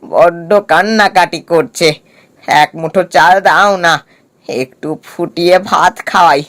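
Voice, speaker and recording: A man's voice: a little high, a bit bright. A man as a poor pleading labourer. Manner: pleading, slow.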